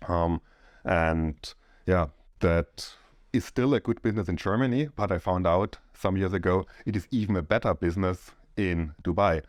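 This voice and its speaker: Deep-voiced middle-aged man from Austria. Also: moderate pace, no room sound, studio quality. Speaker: co-owner of a real estate firm. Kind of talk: casual podcast chat.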